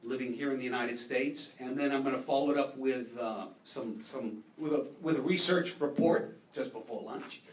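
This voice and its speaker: A man's voice: mid-range voice, moderate pace, hardly any pauses. A man with a New Hampshire accent giving a casual conference presentation on market research, chatty and self-deprecating with many fillers and repeats. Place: conference hall. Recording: echoey hall, distant mic.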